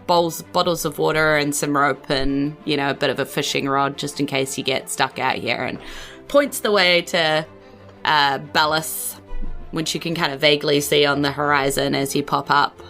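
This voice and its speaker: A woman's voice: low voice, unhurried, a bit bright, soft background music. A woman with a New Zealand accent, narrating a tabletop game as game master in an informal, chatty way.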